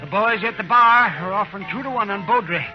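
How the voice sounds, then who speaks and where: An actor playing an eager, fussy older frontier town doctor in a 1950s radio western. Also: male voice, high-pitched, a little fast, natural voice. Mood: eager, morbid. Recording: muffled old radio recording with music.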